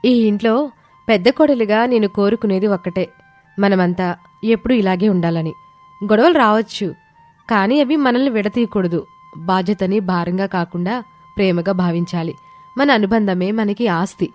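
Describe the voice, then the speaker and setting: A wise eldest daughter-in-law played by a woman speaks earnestly and patiently, gently moralizing at a middle pitch. Music runs under this storybook drama. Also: normal speed, pauses often, close to an everyday voice. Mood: content, earnest.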